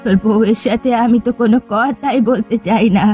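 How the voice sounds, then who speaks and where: A woman playing a jealous, accusing elderly village mother-in-law in a melodramatic cartoon, with slow, emphatic delivery. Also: on the high side, steady tone, darkish tone, faint music behind, lightly acted. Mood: angry, accusing. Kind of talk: melodramatic cartoon family comedy acting.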